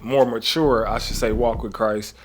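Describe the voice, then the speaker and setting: A man of middle pitch gives an earnest, preachy motivational talk to fellow traders. He speaks conversationally and keeps checking in with the listener. Quiet music plays faintly behind him. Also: steady tone, normal speed. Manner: conversational, often adds "right?" and "you know what I'm saying?"; earnest, preaching gratitude with faith references. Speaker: trading coach.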